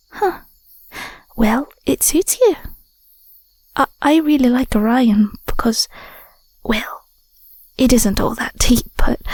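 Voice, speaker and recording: A woman playing a tender, sentimental young Scottish werewolf girlfriend in an intimate audio roleplay, speaking softly and breathily with a gentle, affectionate manner. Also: high-pitched, no room sound, heavily acted. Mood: fond, curious. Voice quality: soft, breathy, whispery. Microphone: close mic, dry.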